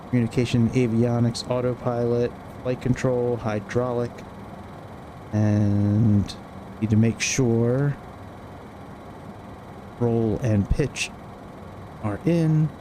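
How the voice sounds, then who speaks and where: A man's voice: medium pitch, steady tone, takes it slowly, long pauses. A man streaming a flight simulator, casually thinking aloud through a checklist in short clipped phrases. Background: cockpit ambience and engine noise from flight simulator.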